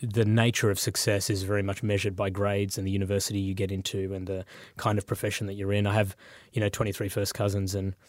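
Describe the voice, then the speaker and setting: A man born in Sri Lanka and raised in Australia, speaking as an interview guest. He talks reflectively and thoughtfully, often pausing with um and uh. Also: lowish voice, flat delivery, no echo, studio quality. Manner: thoughtful, with frequent um and uh.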